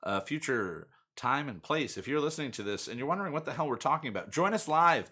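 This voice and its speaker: A man's voice: medium pitch, big pitch swings, quick pace, pushed and bright, breathy edge. A young man hosting a casual podcast chat, rambling and chatty with many fillers. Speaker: podcast host.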